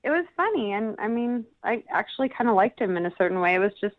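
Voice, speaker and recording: A woman's voice: mid-range voice, very animated, fast talker, phone-quality sound. A woman chatting casually as a radio show guest, hedging as she goes with a giggly, hesitant manner. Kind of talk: casual phone chat.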